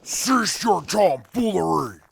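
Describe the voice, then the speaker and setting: A man playing a cranky, indignant ghoul with a gravelly, theatrical delivery in an audio drama. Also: high-pitched, very animated, takes it slowly, a little hiss or hum, heavily acted.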